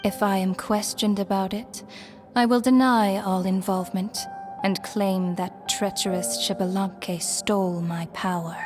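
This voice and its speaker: A woman's voice: mid-range voice, fairly even intonation, measured pace, close to an everyday voice. An actress playing a solemn female shade who rules death, speaking in grave, formal pronouncements for a video game. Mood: stern, measured. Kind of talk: dramatic video game acting. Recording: music playing behind the voice.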